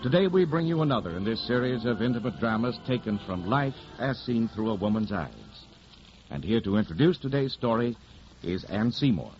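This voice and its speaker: A man introduces a program as a 1940s radio announcer. His mid-pitched voice moves dramatically up and down over loud music, with muffled old sound. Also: animated, fairly quick.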